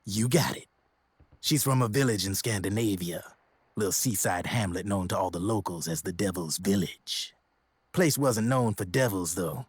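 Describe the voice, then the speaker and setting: An actor playing a streetwise, glib American arms dealer in a video game, with a slangy, theatrical storytelling manner. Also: male voice, low-pitched, moderate pace, slightly rough, no echo. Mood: grim storytelling. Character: streetwise, glib arms dealer telling grim war stories. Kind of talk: video game cutscene voice acting.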